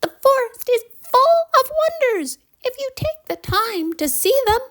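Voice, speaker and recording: Tiny friendly storybook fairy, very high and squeaky, cheerful. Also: female voice, sing-song, measured pace, a bit bright, exaggerated voice. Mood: gentle wonder.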